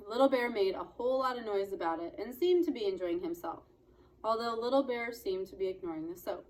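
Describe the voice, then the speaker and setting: A woman reads a children's book aloud as a storyteller. Her voice sits at a medium pitch, and she phrases deliberately and dramatically, leaning on key words. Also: expressive, darkish tone, poor audio. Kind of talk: expressive children's book narration.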